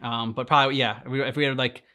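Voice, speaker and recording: A man with a middling pitch chats casually. He is a reaction video co-host talking informally to viewers. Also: steady tone, fast, sharp tone. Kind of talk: casual chat.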